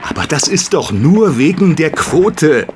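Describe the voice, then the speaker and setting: Cornered bookmaker: fairly high voice, slow delivery, defensive, pleading, for radio drama. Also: male voice, big pitch swings, background noise. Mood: desperate. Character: protesting, cornered male bookmaker under police interrogation. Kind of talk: audio drama acting.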